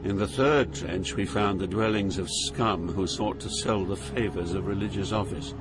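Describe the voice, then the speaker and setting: A man's voice: deep voice, moderate pace, music in the background, close to an everyday voice. An actor playing an awed, fearful poet pilgrim, narrating his journey through hell in a solemn, theatrical manner. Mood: solemn dread. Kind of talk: dramatized literary narration.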